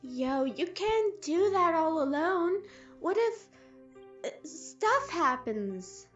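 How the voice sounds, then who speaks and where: High woman acting a loyal little monster, slangy, slow-paced, eager yet worried, audio drama. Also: sing-song, lo-fi sound, faint music behind, full character voice. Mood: uneasy. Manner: informal, youthful slang.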